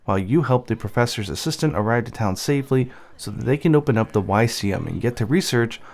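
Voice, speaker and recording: A man's voice: mid-range voice, lively intonation, fast talker, vocal fry, darkish tone. A man giving light scripted narration over game cutscenes, explaining the story as he goes. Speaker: narrator and channel host. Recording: music behind the voice. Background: game music.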